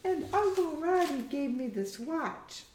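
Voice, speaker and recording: A woman voicing a young adult daughter, bright and testing, in an audiobook story. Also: high voice, expressive, a little slow, slight background noise, voice pushed up. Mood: casual, eager. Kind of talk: audiobook character voice. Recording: roomy echo.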